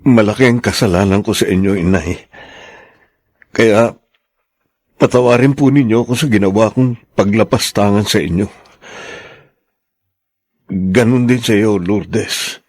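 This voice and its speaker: A man's voice: lowish voice, a little slow, long pauses, a little mellow, dry recording. A man playing a humbled, remorseful husband and son in a Filipino radio drama. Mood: remorseful, pleading.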